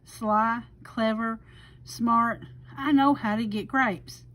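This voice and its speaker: A woman's voice: a little high, steady tone, takes it slowly, a bit bright. Woman voicing a smug, pompous sly fox. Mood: condescending, impatient. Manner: pompous, condescending, theatrical. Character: sly, smug male fox, scheming smooth-talker.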